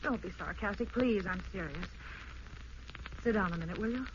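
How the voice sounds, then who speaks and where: Woman playing unhappy young housewife, old time radio drama, earnest, middle pitch, muffled sound. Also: moderate pace, a little hiss or hum, natural voice. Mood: unhappy, pleading. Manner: earnest, pleading, hesitant. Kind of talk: 1940s radio drama acting.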